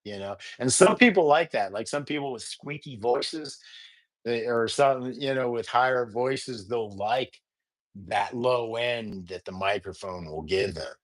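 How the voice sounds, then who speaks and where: A man with a deep voice hosting a casual audio gear tutorial, rambling and halting with many fillers. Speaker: podcast host.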